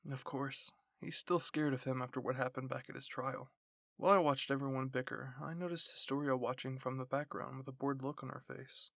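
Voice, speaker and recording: A man reading a scripted first-person story in ASMR style, softly and in measured phrases. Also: mid-range voice, fairly even intonation, gravelly, dry recording, studio quality. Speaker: narrator and reader.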